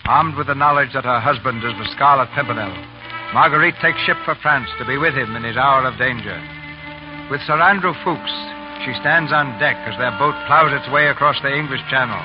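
A man's voice: medium pitch, fairly quick. A man narrating a 1930s radio drama as its announcer, in scripted style. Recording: muffled old radio, loud music. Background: loud music.

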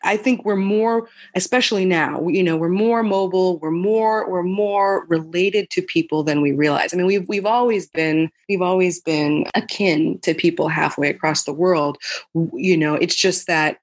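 A woman's voice: on the low side, moderate pace. A woman in her thirties from the United States, answering interview questions thoughtfully, often restarting and saying "you know". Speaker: podcast guest.

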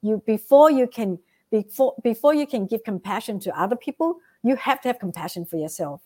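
A woman's voice: a little high, expressive, a little fast, darkish tone. A woman with a Vietnamese accent, a guest giving earnest motivational advice and often checking in with "right?". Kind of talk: motivational interview talk.

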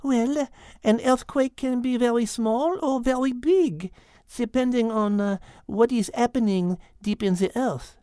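A woman's voice: a little high, unhurried, few pauses, dark tone, clean tone, no room sound, clean studio sound, lightly acted. A woman voicing a brainy French female animal in a children's audio drama, speaking precisely and formally with logical poise. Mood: thoughtful, logical. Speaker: co-host and character in an animal story podcast.

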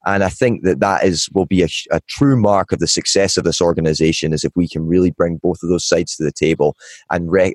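A man from Scotland with a Scottish Highland accent, a podcast guest talking casually and rambling with many restarts. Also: a little mellow, no room sound, clean studio sound. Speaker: guest, nightlife advocate.

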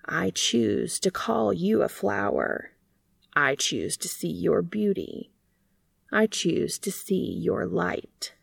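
A woman voicing an earnest, devoted male bee in a storybook, speaking softly, tenderly and deliberately. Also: on the low side, very animated, gravelly, slightly rough, dry recording, clean studio sound, heavily acted. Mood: earnest, resolute. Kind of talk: storybook character reading.

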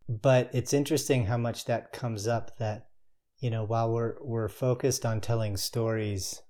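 A man's voice: medium pitch, steady tone, a little slow, mellow. A man hosting a thoughtful, conversational interview, phrasing his questions in a tentative, musing way. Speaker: interviewer, host.

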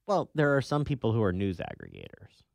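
Low-voiced man co-hosting sports talk. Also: wildly swooping pitch, a little fast, gravelly, no room sound, clean studio sound. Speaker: sports talk show co-host. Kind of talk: conversational sports discussion.

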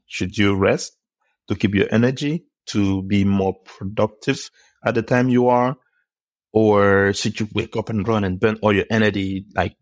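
A man from Cameroon, a podcast guest telling his story in a reflective, unhurried way, often saying "you know". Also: fairly low pitch, no echo. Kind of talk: casual interview conversation.

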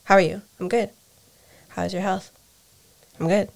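A woman's voice: medium pitch, steady tone, a little slow, gravelly. A young adult woman with an American accent, speaking candidly and introspectively in a reflective conversation, often repeating phrases as she searches for words. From United States. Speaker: interview guest, adoptee.

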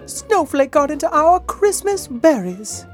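A man's voice: very high voice, very animated, unhurried, darkish tone, faint music behind, pitched above the performer's own voice, heavily acted. A man voicing an exasperated farm wife in a children's story, fretful and scolding. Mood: scolding, dismayed. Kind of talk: acted children's story narration.

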